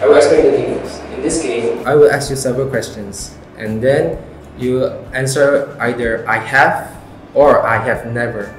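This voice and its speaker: A man's voice: mid-range voice, slight background noise. Indonesian-accented man hosting in an echoey room. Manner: halting non-native English with loose grammar. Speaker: host. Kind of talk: casual game hosting. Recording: echoey room, background music.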